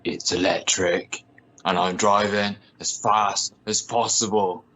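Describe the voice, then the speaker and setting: An amateur actor playing a gruff, commanding and sarcastic expedition driver in a sci-fi radio play. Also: male voice, on the low side, fairly even intonation, takes it slowly, pushed and bright, full character voice. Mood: tense, focused.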